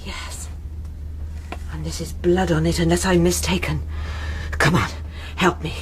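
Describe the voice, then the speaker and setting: A woman's voice: on the low side, fairly even intonation, a little slow, natural voice. An actress playing an anxious, honest innkeeper mother, stern and fearful, in a radio drama. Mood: stern, urgent. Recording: noisy radio drama with clicks.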